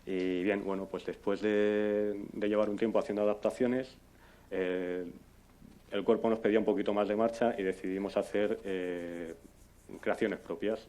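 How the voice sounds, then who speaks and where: Deep-voiced, hesitant Spanish man speaking. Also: steady tone, normal speed, warm tone. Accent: Spain. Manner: hesitant, conversational. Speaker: conference speaker, amateur audio drama scriptwriter. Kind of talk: informal public talk. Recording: faint background noise, live room ambience.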